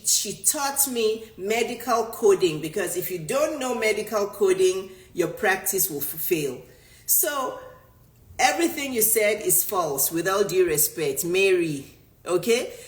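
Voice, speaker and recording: A middle-aged woman from Nigeria, a native Yoruba speaker, coaching with insistent emphasis and repeating herself to drive points home. Her voice sits at a middle pitch for a woman. The room adds a noticeable echo around her. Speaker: business coach. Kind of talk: motivational talk answering a viewer. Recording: roomy echo.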